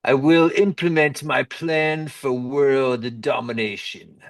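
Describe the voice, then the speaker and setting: A man playing a grandiose mad scientist bent on world domination in an amateur comedy sketch, declaiming his plans deliberately. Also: medium pitch, steady tone. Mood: grandiose, scheming. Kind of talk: amateur radio comedy sketch read-through. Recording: roomy echo, distant microphone.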